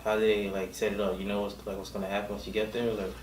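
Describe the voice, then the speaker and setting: Low-voiced man, distant in an echoey room. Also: level tone, racing, a little hiss or hum. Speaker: podcast co-host. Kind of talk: casual chat.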